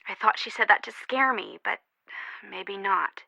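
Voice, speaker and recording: A guarded, anxious young woman from a mystery video game. Her voice is bright and forward, sitting at an average female pitch. The recording is very dry and close. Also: unhurried, creaky, dry recording, exaggerated voice, low-quality recording. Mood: wary, uneasy. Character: worried, guarded young woman, friend of missing girl.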